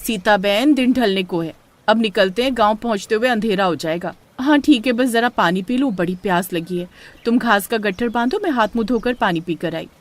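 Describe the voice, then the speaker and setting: A woman's voice: medium pitch, fairly quick, close to an everyday voice. A woman voicing friendly village women out cutting grass, in everyday storybook dialogue. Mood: friendly, casual.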